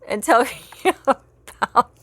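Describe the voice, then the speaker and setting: A woman's voice: slow, fairly bright tone, no room sound. A middle-aged woman co-hosting a casual podcast, talking in a loose, giggly way. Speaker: podcast co-host.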